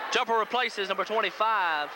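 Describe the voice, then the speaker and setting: Male local-radio sportscaster, high voice, terse basketball play-by-play, noisy old broadcast tape. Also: normal speed. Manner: clipped short phrases, excited on big plays. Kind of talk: live basketball play-by-play commentary. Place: high school gym. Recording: noisy 1980s local FM radio audio from VHS. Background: loud crowd, bouncing ball, gym noise.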